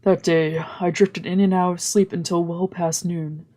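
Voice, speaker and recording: Mellow, husky woman reading a story aloud. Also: on the low side, level tone, moderate pace. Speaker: streamer reading a visual novel aloud. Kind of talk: dramatic let's play read-aloud with casual asides. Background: game music.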